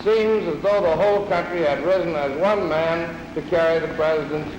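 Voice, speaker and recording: Man, high formal voice, staged newsreel remarks over clear recording noise. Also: normal speed, echoey room, distant mic, muffled recording. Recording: noisy 1930s newsreel recording.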